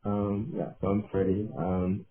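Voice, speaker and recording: American student in his 20s, low voice, hesitant, phone sound, faint hiss. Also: flat delivery. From United States. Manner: halting, many "um"s. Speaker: college senior. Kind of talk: interview self-introduction. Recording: tinny phone line with faint noise.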